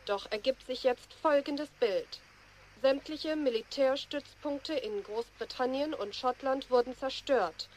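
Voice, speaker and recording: Casting: woman as official radio newsreader in war drama, raised register, sober measured delivery, slight ambient noise. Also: a little high, natural voice, rough recording. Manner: measured, impersonal. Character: sober official London radio announcer in wartime. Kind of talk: formal news bulletin in radio drama.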